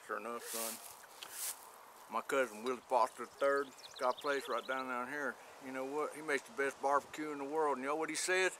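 A man's voice: medium pitch, fairly even intonation, a little fast, background noise, lightly acted. A man playing a menacing, teasing backwoods country man with a Southern US accent and a folksy, needling manner in a drama. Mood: folksy, sly. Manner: folksy, needling, repeats himself. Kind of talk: acted drama dialogue.

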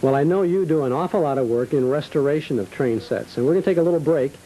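A man's voice: on the high side, fast talker, dark tone, rough recording. A man hosting a local television program, speaking conversationally to guests and viewers on an old cable TV tape. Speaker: host. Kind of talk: conversational local TV interview and ad reading.

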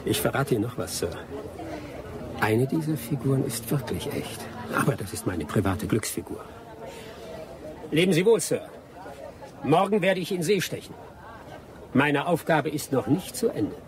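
Actor as bitter adventurer, widely swinging voice. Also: male voice, medium pitch, expressive, moderate pace, pauses often, crowd noise, lightly acted. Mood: sardonic. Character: bitter, vengeful adventurer back from the jungle. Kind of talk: German radio drama acting.

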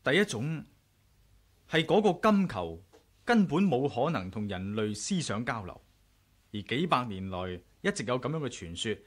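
An actor with a Hong Kong Cantonese accent playing a curious, self-assured adventurer in a radio drama, frank and measured. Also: male voice, mid-range voice, sing-song. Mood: frank, speculative. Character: curious, bold male adventurer narrating his own tale. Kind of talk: radio drama acting and narration.

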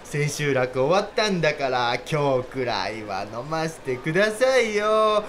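A lovestruck young male actor in a comic radio drama. His fairly high voice swings widely as he talks in slangy junior's speech, cocky yet insecure. There is faint noise behind him. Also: big pitch swings, measured pace, fairly bright tone. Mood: boisterous. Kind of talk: radio drama comedy acting.

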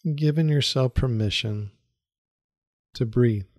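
A man leading a guided meditation in a soothing, unhurried manner with long pauses and repeated phrases. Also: medium pitch, a little mellow, no echo. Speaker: meditation guide, yoga teacher. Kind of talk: guided meditation, calm instruction.

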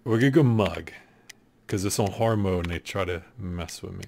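A man in his thirties with a deep voice, casually commenting while he plays a game show video game at his desk at home. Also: moderate pace, vocal fry, darkish tone. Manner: laid-back, joking, thinking aloud. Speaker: streamer.

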